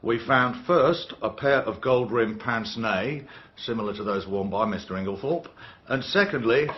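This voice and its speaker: An actor playing an imperturbable police inspector giving clipped, deadpan evidence in a radio drama. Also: male voice, mid-range voice, normal speed, a little hiss or hum, natural voice. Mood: official. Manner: deadpan, clipped official testimony. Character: stolid, imperturbable male police inspector in court. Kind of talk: radio courtroom drama acting. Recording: dull radio drama recording.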